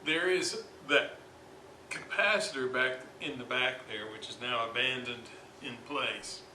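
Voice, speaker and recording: Guy showing a repair at his bench, talks slow and stop-start, roomy noisy homemade recording. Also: mid-range voice, sharp tone. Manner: halting, thinking aloud with long pauses. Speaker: hobbyist radio restorer presenting his repair. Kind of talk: informal hands-on repair demonstration. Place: indoors at a repair workbench.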